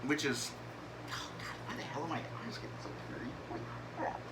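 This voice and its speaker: A man's voice: a little high, sing-song, brisk. A young man from the northern United States talking casually over gameplay, rambling with many fillers and restarts. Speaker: gaming YouTuber. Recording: game engine noise behind.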